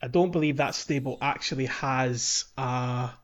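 A man's voice: medium pitch, a little slow. A man hosting a casual podcast chat, chatty and laughing often between fillers. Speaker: podcast host. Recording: dull lo-fi podcast audio.

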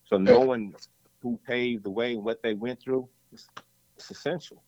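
A man's voice: mid-range voice, steady tone, moderate pace, a little mellow, dry recording. A man, a rapper, talking casually in an interview, rambling and often repeating "you know what I'm saying.". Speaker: rapper guest. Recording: dull lo-fi dry sound.

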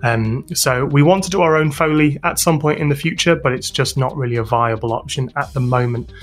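A man's voice: medium pitch, brisk, faint music behind. A British man giving casual interview answers as a guest, informal and hesitant as he thinks aloud. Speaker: interview guest, podcast sound designer and composer.

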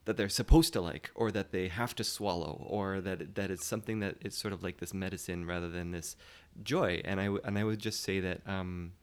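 A man's voice: low voice, steady tone, brisk, hardly any pauses, clear, resonant tone. A man speaking as a playwright guest in a casual panel discussion, earnest and hesitant as he forms his thoughts.

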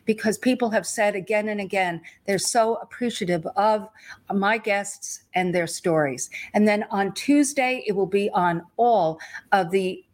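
A woman's voice: medium pitch, a little slow. A woman hosts an interview show in a welcoming, friendly way, often repeating her greetings. She asks her guest plain questions about his background. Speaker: show host. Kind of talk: interview podcast.

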